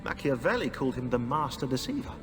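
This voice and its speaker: Man playing animated, chatty history buff. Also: mid-range voice, fast talker, long reverb tail, music in the background, lightly acted. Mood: enthusiastic. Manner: animated, informal. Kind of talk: casual conversational game acting.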